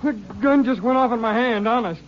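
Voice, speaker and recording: A cornered, menacing killer. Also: male voice, very high-pitched, normal speed, dull audio. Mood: pleading. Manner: menacing, stammering. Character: cornered male killer, threatening then pleading. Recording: noisy old radio broadcast, distant.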